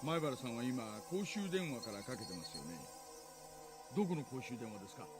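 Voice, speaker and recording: A man's voice: medium pitch, very animated, rapid-fire, music in the background, lightly acted. A man playing a middle-aged police detective who presses and coaxes urgently over the phone, in game voice acting.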